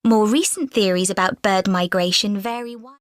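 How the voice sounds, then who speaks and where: A woman gives a scripted academic lecture at a steady, measured pace. Her voice sits in a middle pitch. The studio recording is dry and close. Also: no echo. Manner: measured, clear delivery.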